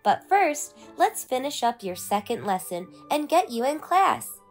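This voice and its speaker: Woman playing male karate teacher, encouraging, upbeat, praising, high register, storybook character. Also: sing-song, measured pace, faint music behind, full character voice. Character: encouraging, upbeat male martial arts instructor. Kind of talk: children's storybook read-aloud.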